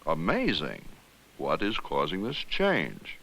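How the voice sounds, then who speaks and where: A stern alien captain in an educational space drama. His voice is very low and forceful, with formal, commanding delivery and lively swings in pitch. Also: slow pace, gravelly, full character voice, rough recording. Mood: astonished. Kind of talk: scripted educational film drama.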